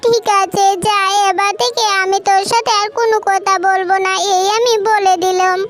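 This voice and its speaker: A woman's voice: extremely high pitch, fairly even intonation, moderate pace. A woman voicing a touchy female tailorbird stepmother in a children's cartoon, with an emotional, nagging way of talking. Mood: hurt, nagging. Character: female tailorbird stepmother, doting but touchy, turning scolding mother-in-law. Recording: children's music under the voice.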